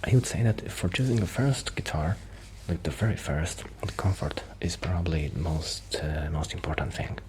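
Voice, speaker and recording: Male, low-voiced, soft ASMR delivery bordering on a whisper; shy, halting roleplay ramble, slightly noisy recording. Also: animated. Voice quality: soft, breathy, often near a whisper. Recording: noticeable background noise.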